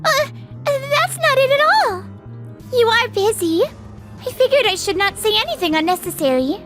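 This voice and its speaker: A woman's voice: very high voice, animated, brisk, faint music behind. A woman voicing an earnest, easily flustered young businesswoman in a romantic comedy manga dub. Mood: flustered, shy. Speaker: voice actor.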